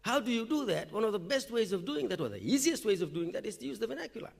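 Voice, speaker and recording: Lecturer, male, from Fiji; high voice with a gravelly edge, chatty conference-talk delivery. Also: big pitch swings, quick pace. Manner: conversational, frequent "okay?" check-ins. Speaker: university lecturer presenting at a teaching forum. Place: lecture hall or forum room.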